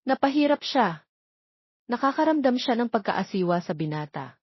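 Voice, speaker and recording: A woman's voice: fairly high pitch, big pitch swings, normal speed, husky, dry recording, lo-fi sound. A woman narrating romance fiction as a scripted, dramatic story reading.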